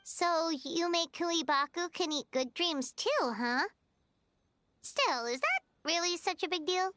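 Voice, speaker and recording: A woman voicing a tiny, excitable, food-loving fairy companion in a video game, with a chirpy childlike manner. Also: extremely high pitch, slow, full character voice. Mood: curious. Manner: chirpy, refers to self in third person.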